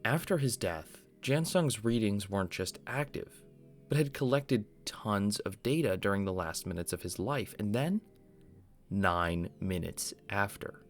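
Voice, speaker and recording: Low-voiced young man narrating over music. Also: expressive, unhurried. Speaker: video essay host explaining an analog horror series. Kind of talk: scripted narration.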